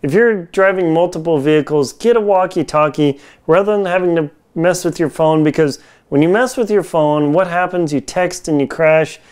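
A man's voice: fairly high pitch, normal speed, hardly any pauses, darkish tone, clear, resonant tone. A man in his forties giving a casual, conversational product review to camera in informal, slangy language, recorded on a lapel mic in a home kitchen. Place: small home kitchen, indoors.

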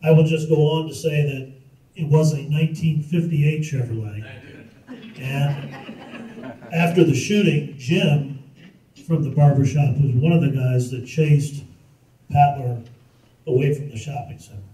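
A man from the audience recounting an eyewitness memory in a halting way, with fillers. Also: on the high side, dark tone. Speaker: audience member. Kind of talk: eyewitness anecdote. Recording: echoey hall, distant mic.

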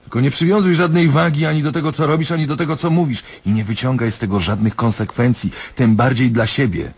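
An actor playing a diabolical male doctor in a radio drama, sardonic and domineering, drawling words for emphasis in a husky voice. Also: medium pitch, steady tone, quick pace. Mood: taunting, commanding. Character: diabolical, mocking male doctor toying with his patient.

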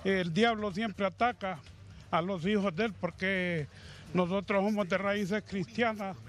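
A middle-aged man with a Honduran accent interviewed outdoors about losing his home, speaking slowly and haltingly with religious phrasing, into a handheld mic. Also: high voice, steady tone, a bit bright. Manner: slow, halting, pious. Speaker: fire victim interviewed. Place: outdoors by a burned house. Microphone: handheld reporter mic.

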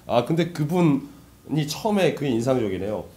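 A man's voice: medium pitch, very animated, moderate pace, warm tone. A man hosting a casual business interview, chatting conversationally while suffering from a cold and sore throat. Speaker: interviewer, host of a business talk show.

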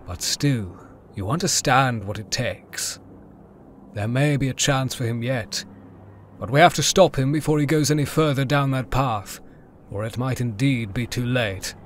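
Man playing earnest, mid-pitched Jedi master. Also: a little slow, slight background noise, lightly acted. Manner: calm, persuasive. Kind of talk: fan audio drama acting.

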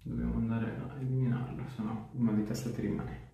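A man's voice: medium pitch, level tone, a little fast, gravelly, mellow, highs cut off. A man, working as a chiropractor and giving short informal instructions while checking for pain. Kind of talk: hands-on treatment talk with short instructions. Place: small tiled treatment room indoors. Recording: echoey room, distant mic, faint noise.

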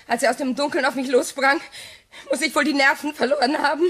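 An actress playing a distraught widow in a radio crime drama, fragile and faltering, with a breathy voice. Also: female voice, sits high, steady tone, quick pace, fairly bright tone. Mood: shaken, fragile. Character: distraught widow feigning shock, secretly calculating.

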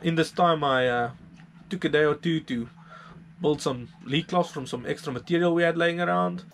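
A man's voice: on the high side, normal speed, creaky. A South African man, around his thirties, narrating a sailing vlog in an informal, laid-back way. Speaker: sailing vlogger.

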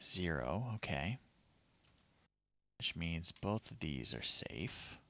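A middle-aged man giving casual, low-key live commentary as he plays retro games, often muttering to himself. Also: big pitch swings, slow, gravelly, sharp tone, no echo, muffled recording. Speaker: livestream host playing retro games. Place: small room at home, indoors.

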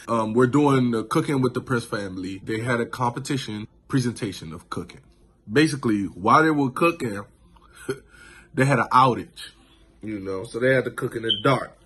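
A man in his thirties recapping a show on his vlog, laid-back and animated, from a small room indoors into a phone camera. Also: medium pitch, normal speed, slightly rough. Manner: laid-back, animated, lots of "you know what I'm saying". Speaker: vlogger. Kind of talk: casual reaction vlog.

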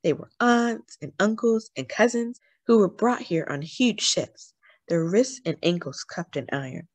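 A woman reading a children's picture book aloud expressively, with dramatic, deliberate phrasing. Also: medium pitch, lively intonation, no room sound. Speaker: story reader, host of a read-aloud channel.

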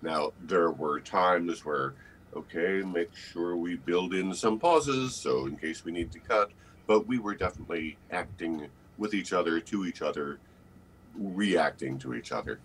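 A man's voice: deep voice, animated, a little slow. An older man, an actor, giving conversational interview answers as a guest on a video call. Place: book-lined room indoors. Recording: faint background noise on video call.

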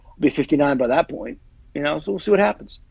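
Rapid-talking man in his forties, husky. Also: on the high side, expressive, darkish tone, no room sound. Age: 40s. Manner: rambling, repeats phrases, many fillers. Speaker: football agent and guest. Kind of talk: casual interview chat. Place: small room indoors. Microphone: earbuds on a video call. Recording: dull lo-fi video call audio.

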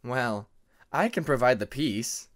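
A man's voice: mid-range voice, expressive, slow. A man voicing an awkward, eager young male dragon in an audiobook story. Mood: playful. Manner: eager, enthusiastic.

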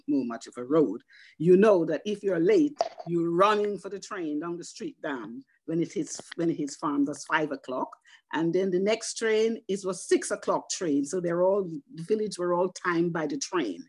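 An older woman with a Guyanese accent, chatting on a video call and rambling through memories. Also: fairly low pitch. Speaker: guest. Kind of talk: casual reminiscing on a video call.

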